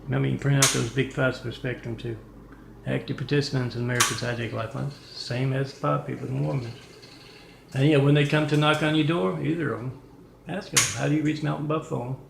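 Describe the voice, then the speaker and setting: Man vlogger, rough gravelly voice, rambling monologue to camera; echoey room with faint hum. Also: medium pitch, fairly even intonation, fast talker. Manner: rambling, restarts often, reads out numbers and addresses. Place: indoor apartment with a kitchen.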